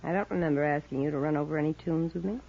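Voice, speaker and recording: An actress with an American accent playing a brazen, flirtatious band singer in a 1950s radio drama, teasing and slangy. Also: female voice, low-pitched, fairly even intonation, rapid-fire, vocal fry, warm tone. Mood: sly. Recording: dull, lo-fi 1950s radio recording.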